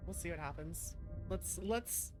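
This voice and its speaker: A woman's voice: on the low side, animated, unhurried. A woman streaming a game with casual commentary, hesitantly thinking aloud and reading choices out. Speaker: streamer playing a visual novel. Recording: music playing behind the voice. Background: game music.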